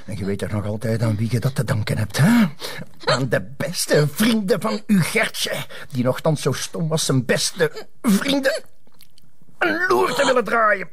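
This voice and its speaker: Husky, leering Flemish thug. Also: male voice, a little high, big pitch swings, measured pace, a bit bright, exaggerated voice. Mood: gloating menace. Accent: Flemish Belgian. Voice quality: breathy, husky. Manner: taunting, insinuating. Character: menacing, gloating male thug, leering and taunting. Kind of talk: TV crime drama acting.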